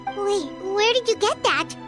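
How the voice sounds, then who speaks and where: Game sidekick: tiny childlike fairy, piercingly high squeak, earnest, excitable. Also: female voice, measured pace, music in the background. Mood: shocked, upset. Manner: squeaky, earnest, speaks of self by name. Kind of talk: video game voice acting.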